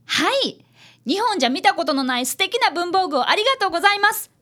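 Woman as schoolboy detective's apprentice: high, animated, polite, eager, radio comedy. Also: quick pace, full character voice. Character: earnest, polite fifth-grade boy, apprentice detective. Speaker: actor and narrator in a community radio drama. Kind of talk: Japanese comic radio drama acting.

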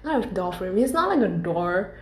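A woman's voice: lowish voice, sing-song, normal speed. A young woman telling stories casually, swearing freely, laughing often and wandering off into asides. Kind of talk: casual storytelling monologue. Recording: roomy echo.

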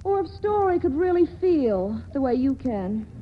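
A woman's voice: high voice, very animated, moderate pace. An actress playing a restless, wry and lovelorn young woman in a 1940s radio drama, with a theatrical, weary manner. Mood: wry, detached. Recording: dull, noisy 1940s radio broadcast recording.

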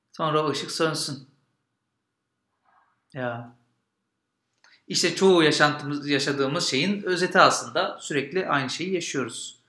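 A man's voice: on the high side, pushed and bright. A man, hosting and telling stories in an informal, narrated style. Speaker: podcast host and storyteller. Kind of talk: casual intro and narrated legend storytelling. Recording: roomy echo with guitar music.

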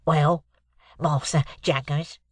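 A man's voice: fairly high pitch, level tone, no room sound, clean studio sound. Man voicing a bumbling client with a head cold. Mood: deferential. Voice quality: congested, thick with a head cold. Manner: slow, hesitant, bumbling. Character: slow-witted, nervous rough male client with a cold.

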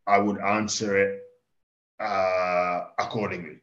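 A man in his thirties, born in Kenya and speaking with an English accent, giving candid, animated stories as a guest in a casual interview. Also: deep voice, slow pace. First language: Swahili. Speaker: farmer guest. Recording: dull lo-fi podcast recording.

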